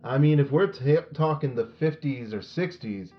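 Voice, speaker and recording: An actor playing a patient, skeptical middle-aged late-night radio host in an audio drama, with a measured, dry delivery. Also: male voice, medium pitch, lively intonation, natural voice. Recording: dull lo-fi radio sound with music.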